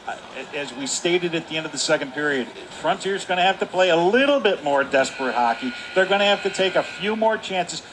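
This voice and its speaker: A man's voice: a little high, a bit bright, slight background noise. An American man giving live color commentary on a hockey game, in an emphatic, folksy way that repeats its points. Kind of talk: live sports analysis.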